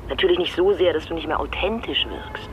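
A man's voice: fairly high pitch, animated, fairly quick, muffled recording, a little hiss or hum, lightly acted. An actor, a man, playing a candid academic in casual, conversational radio drama dialogue with a wry manner. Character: candid academic recounting a job interview.

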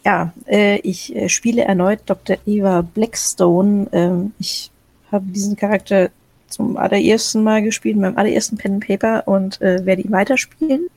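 A woman with a somewhat husky voice introduces her role-playing character, hesitant and full of fillers, with light jokes. The sound is dry and close, with no room around it. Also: mid-range voice, steady tone, normal speed, darkish tone, no room sound. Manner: hesitant, many fillers, joking. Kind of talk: casual chat.

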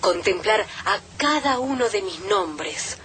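A woman's voice: medium pitch, animated, slow pace, a bit bright. A woman doing a scripted reading in a radio production. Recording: faint background noise.

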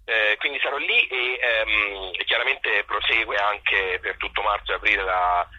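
Man, raspy, piercingly bright, casual theatre guest. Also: fairly low pitch, normal speed, dull audio. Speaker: theatre author-actor being interviewed. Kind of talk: casual interview chat.

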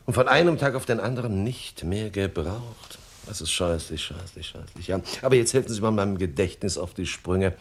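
An actor playing a jovial, glib employment agency boss with an effusive, insinuating manner in a 1970s radio play. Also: male voice, low-pitched, moderate pace, hardly any pauses, husky. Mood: sly, insinuating. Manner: effusive, wheedling, repeats words.

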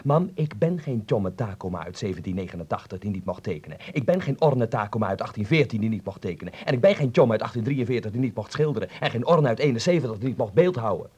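An actor playing a bitter, defiant teenage son in a Dutch radio play, sardonic in manner. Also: male voice, fairly low pitch, lively intonation, fast, few pauses, mellow, slightly rough.

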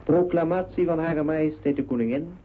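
A man's voice: on the high side, brisk, natural voice. A man playing a solemn official who reads a formal wartime proclamation on the radio. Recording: noisy, narrow-band old radio recording.

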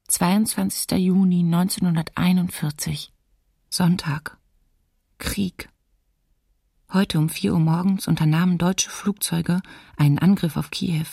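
A subdued young female student reads her diary in a radio drama. The voice is low, creaky and breathy, quiet and understated, in a dry, slightly distant studio recording. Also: fairly even intonation, unhurried, dry recording, heavily acted. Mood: subdued, grave. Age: young adult. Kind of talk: intimate scripted diary reading in radio drama.

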